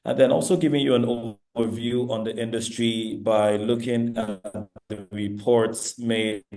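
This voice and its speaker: A man from eastern Nigeria with a Nigerian accent, giving a relaxed guest lecture over a video call with many pauses and ums. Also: medium pitch, poor audio. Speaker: guest speaker. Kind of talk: virtual lecture presentation.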